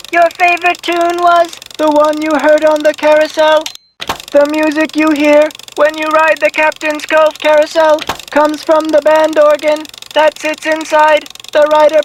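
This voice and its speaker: A quirky talking memory machine from a video game, speaking in stilted, formal phrases with playful flourishes. The voice is very high and swings wildly in pitch, with some noise on the recording. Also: female voice. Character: quirky talking memory machine, chirpy and programmed, riddle-giving. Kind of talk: video game character voice acting.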